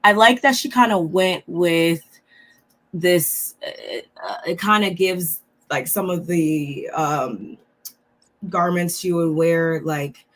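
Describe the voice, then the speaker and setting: A woman co-hosting a reality TV recap. She is a little under the weather and talks in a relaxed, halting way with many fillers. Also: on the low side. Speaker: co-host of a reality TV recap show. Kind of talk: casual chat reviewing looks.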